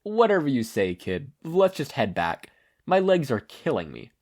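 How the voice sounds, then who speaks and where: A man's voice: medium pitch, wildly swooping pitch, normal speed, a little mellow, pitched above the performer's own voice. A man voicing a confident, encouraging woman hero with a casual, teasing way of talking, in a story reading.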